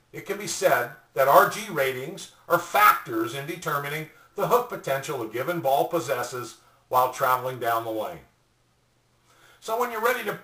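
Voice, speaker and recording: An older man giving a scripted product explainer in a deliberate, formally worded way. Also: on the high side, sharp tone. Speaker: presenter. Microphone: webcam a little way off. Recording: roomy echo, distant mic.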